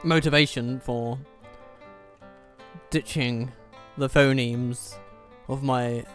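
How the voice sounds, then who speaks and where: Wry Danish-born gaming commentator. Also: male voice, mid-range voice, steady tone, slow pace, faint music behind. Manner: chatty, wry, laughs at his own jokes. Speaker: let's play host. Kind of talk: casual gameplay commentary.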